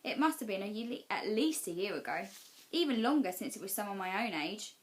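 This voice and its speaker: A woman's voice: mid-range voice, sing-song, a little fast. Woman reading a children's story aloud. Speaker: teacher reading class storytime. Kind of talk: expressive children's storybook narration.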